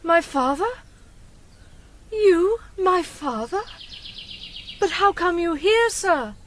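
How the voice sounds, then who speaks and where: Very high, bright frightened young princess. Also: female voice, expressive, slow pace, a little hiss or hum, heavily acted. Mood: anxious, wondering. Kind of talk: period audio drama acting.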